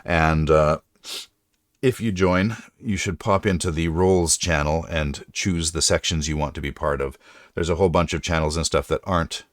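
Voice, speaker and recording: A middle-aged man with a deep voice, hosting a casual livestream chat and rambling through his thoughts with frequent restarts. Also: fairly even intonation, normal speed, no echo, clean studio sound. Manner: rambling, self-correcting. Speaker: livestream host.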